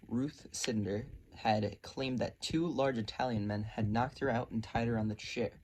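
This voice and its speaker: A man presenting a scripted question-and-answer narration, reading aloud a little haltingly. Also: medium pitch, a little mellow. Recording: noisy amateur recording.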